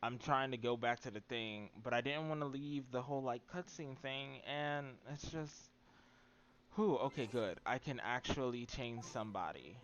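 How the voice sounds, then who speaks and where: A man's voice: medium pitch, moderate pace, a bit bright, muffled recording. A man doing casual livestream game commentary, thinking aloud in an anxious, candid way. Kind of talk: casual gameplay commentary to stream viewers.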